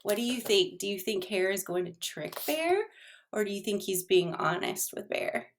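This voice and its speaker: A woman's voice: mid-range voice, lively intonation, normal speed, a little hiss or hum. A woman reading a storybook aloud to children as a teacher would, expressively, with asides and questions to the listeners.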